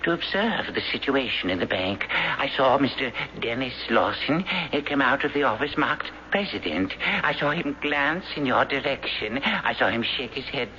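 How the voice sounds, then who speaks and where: Theatrical, agitated eccentric, swooping pitch. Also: male voice, animated, pushed and bright. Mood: grateful, probing. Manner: theatrical, halting, insistent. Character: hunted, eccentric Englishman, agitated yet slyly persuasive. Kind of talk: 1970s radio drama acting. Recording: dull, noisy old radio broadcast.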